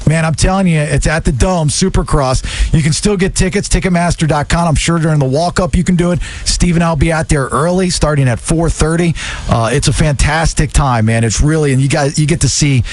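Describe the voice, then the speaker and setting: Jokey male radio host, higher voice. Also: fairly quick. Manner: jokey, teasing, mock-gushing. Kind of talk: joking radio banter and interview. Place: radio studio. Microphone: close studio microphone.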